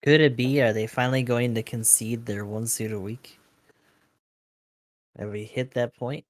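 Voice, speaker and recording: A man's voice: mid-range voice, fairly even intonation, moderate pace, no echo. A man on a gaming podcast, bantering casually in an informal, sweary way. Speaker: podcast panelist.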